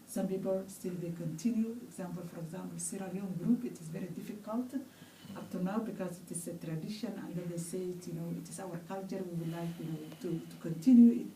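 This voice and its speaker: A woman with a Somali accent and a soft, mellow tone, speaking earnestly as an interview guest. Her English has non-native phrasing, and the room echoes around her with faint noise. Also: on the low side, quick pace, few pauses. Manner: non-native phrasing, frequent "you know". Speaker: guest, campaign ambassador. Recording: roomy, distant mic, faint noise.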